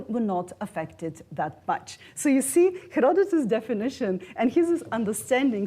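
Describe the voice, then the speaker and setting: A woman's voice: medium pitch, a little mellow. Turkish-accented woman giving an academic lecture. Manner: measured, with frequent restarts on words and names. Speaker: conference speaker. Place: conference hall.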